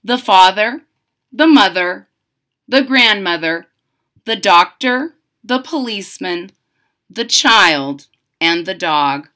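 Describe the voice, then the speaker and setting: A middle-aged woman reading a picture book aloud to children, dramatic and deliberate, with simple explanatory asides. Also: mid-range voice, expressive, pushed and bright. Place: indoors, small home room.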